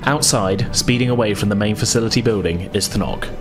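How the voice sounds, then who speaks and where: A man with a fairly low voice acts as game master, narrating scenes and calling for rolls in a tabletop role-playing game. Music plays clearly behind him. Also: fairly quick. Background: background music.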